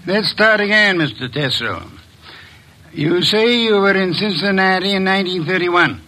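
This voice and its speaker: A man's voice: high voice, moderate pace, background noise, lightly acted. An actor playing a shrewd, formal male detective who speaks in a precise, commanding way, in 1940s radio style.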